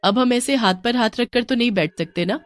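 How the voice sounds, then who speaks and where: A woman voicing a bold, sharp-tongued young wife in a cartoon story. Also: on the high side, a little fast, no room sound, pitched above the performer's own voice, close to an everyday voice. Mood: lively.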